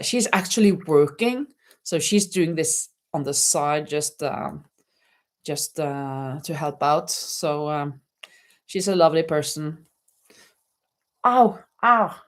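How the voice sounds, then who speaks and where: A middle-aged woman hosting a casual live crochet chat, laughing often and reading viewer comments aloud. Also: mid-range voice. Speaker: livestream host. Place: home in Spain.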